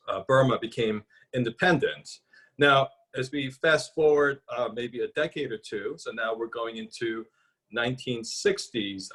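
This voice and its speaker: A man's voice: mid-range voice, a bit bright, slightly rough. A man moderating a panel discussion, musing aloud and hedging with many fillers as he asks questions.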